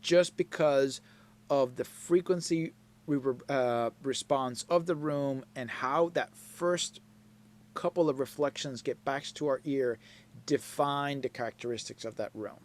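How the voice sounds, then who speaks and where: A man at a medium pitch gives a live lesson on mixing. He takes his time, explains one step after another, and keeps checking that his students follow. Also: unhurried. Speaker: mixing instructor. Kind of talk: live online class lecture.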